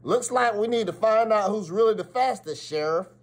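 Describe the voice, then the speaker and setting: A man's voice: sits high, animated, normal speed, voice pushed up. A man voicing a confident young cowgirl challenger from a storybook, folksy and emphatic. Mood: bold challenge.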